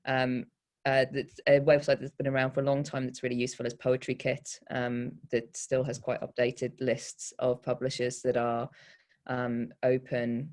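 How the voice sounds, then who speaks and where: A woman poet talks informally on a panel, giving practical advice. Her delivery is halting and candid, with a self-deprecating touch. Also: rumbling low, level tone, a little mellow.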